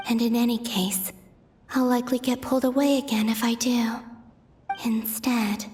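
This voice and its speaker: A woman playing a gentle, anxious young moon maiden in a video game, musing in a hushed, hesitant way. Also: fairly high pitch, a little hiss or hum, lightly acted. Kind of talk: video game dramatic voice acting. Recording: music behind.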